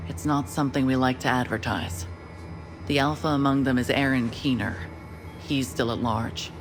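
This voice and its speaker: A steady female commander briefs an agent in a game cutscene. Her delivery is candid, blunt and matter-of-fact, with music clearly playing behind her. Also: extremely low pitch, moderate pace. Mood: matter-of-fact briefing. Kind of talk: scripted game cutscene dialogue.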